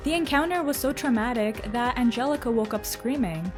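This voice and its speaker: Higher-voiced woman narrator over music. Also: a little fast. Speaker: host and narrator of a countdown video. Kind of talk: scripted narration about cartoons. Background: music behind the voice.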